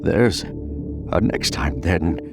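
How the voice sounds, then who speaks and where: A man's voice: deep voice, animated, slow, vocal fry, exaggerated voice. Guy doing a scared old emperor begging, agitated, voice jumps all over, music under it, audio drama. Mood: pleading. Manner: pleading, agitated. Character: desperate, fearful ancient emperor begging for another chance.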